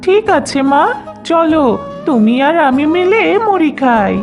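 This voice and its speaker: A very high, plaintive little girl's voice acted by a woman for a cartoon fairy tale. She is a timid village daughter who pleads with her mother, with music playing clearly behind her. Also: very animated, a little slow. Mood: caring.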